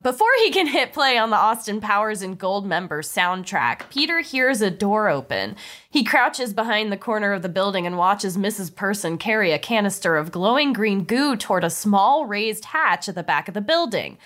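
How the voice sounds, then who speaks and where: A woman podcast host narrating a comedy script in a lively way, with playful asides. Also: mid-range voice, normal speed.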